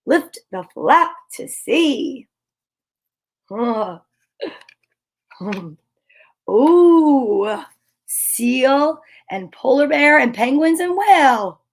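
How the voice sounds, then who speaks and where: A woman hosting a children's story time, reading picture books aloud with expressive exclamations and chatty, self-interrupting asides to viewers. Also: high voice, very slow, long pauses. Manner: expressive, gushing exclamations and gasps.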